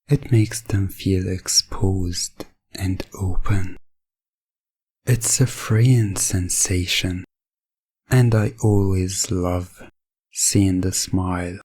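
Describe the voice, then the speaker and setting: A man performs as a composed male professional dominant for an ASMR hypnosis audio roleplay. The voice is low and breathy, and every phrase comes extremely slowly and deliberately. The delivery stays formal and detached, recorded very dry and close. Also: darkish tone, dry recording, exaggerated voice. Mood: calm, assured. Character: calm, businesslike male professional dominant addressing a client.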